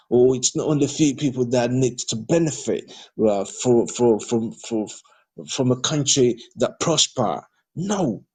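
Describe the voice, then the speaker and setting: A man's voice: medium pitch, a little mellow, husky. A man from Nigeria, speaking for a political party in an audio discussion, hesitant with many fillers and restarts but growing emphatic when criticising politicians. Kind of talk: audio discussion replying to a participant.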